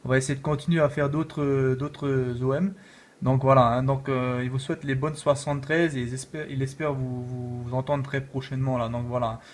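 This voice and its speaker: A man's voice: mid-range voice, flat delivery, normal speed. A man chatting casually as an amateur radio operator, rambling along with repeated filler tags. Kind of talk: casual radio contact chat.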